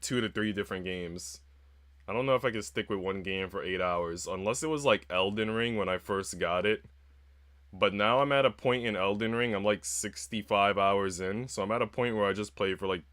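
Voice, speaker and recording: A man's voice: on the low side, fairly bright tone, no echo. A man livestreaming a game, chatting casually and informally with plenty of slang. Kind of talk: casual livestream chat, reading game narration aloud.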